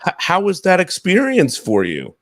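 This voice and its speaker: A man's voice: sits high, wildly swooping pitch, normal speed, no echo. A man in his thirties casually hosting a podcast, putting questions to his guests on a close headset mic. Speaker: podcast host.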